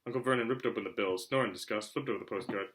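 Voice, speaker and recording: A man narrating a children's novel aloud in an expressive storytelling style. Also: lowish voice, rapid-fire, a little hiss or hum. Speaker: audiobook reader.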